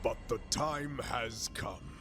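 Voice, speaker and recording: A man playing a stern, grandiose alien zealot in a video game, with a gravelly voice and solemn, formal delivery. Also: medium pitch, slow pace, close to an everyday voice. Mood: grim defiance. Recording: loud music behind the voice.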